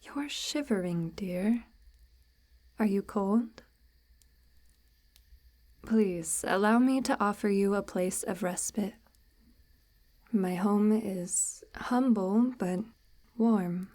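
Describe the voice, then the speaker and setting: Woman voicing a slow, hushed, sinister forest witch. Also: medium pitch. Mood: coaxing, eerily gentle. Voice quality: breathy, often near-whispered. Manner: unhurried, formal, archaic-tinged, gently menacing. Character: soft-spoken, sinister, coaxing forest witch luring a lost traveler. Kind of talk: ASMR audio roleplay, slow intimate monologue.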